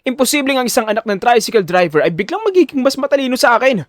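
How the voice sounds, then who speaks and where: Man acting a rich male student bully, audio drama, high pitch, scornful, arrogant, dry recording. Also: very animated, fast talker, dry recording. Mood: taunting. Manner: sneering, condescending.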